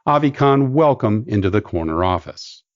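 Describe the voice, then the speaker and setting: A man's voice: lowish voice, expressive, moderate pace, no echo. A man hosting a business podcast, reading guest introductions and asking questions with an enthusiastic, chatty manner. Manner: warm enthusiastic interviewer with fillers. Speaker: podcast host. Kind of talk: scripted podcast intro then interview. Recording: dull lo-fi podcast audio.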